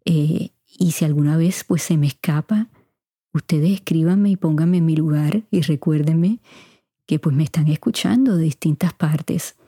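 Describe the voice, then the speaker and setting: A middle-aged woman with a mostly neutral Puerto Rican Spanish accent, hosting a podcast and answering listener questions in an unhurried, chatty way, speaking straight to her listeners. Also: deep voice, dark tone, husky, no echo, clean studio sound. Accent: Puerto Rican Spanish, kept mostly neutral. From Puerto Rico. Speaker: podcast host. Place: home recording room. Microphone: studio mic.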